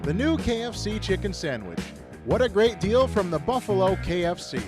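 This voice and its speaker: A man's voice: high voice, very animated, normal speed. A man reading a scripted radio commercial as a voice-over announcer. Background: guitar music bed.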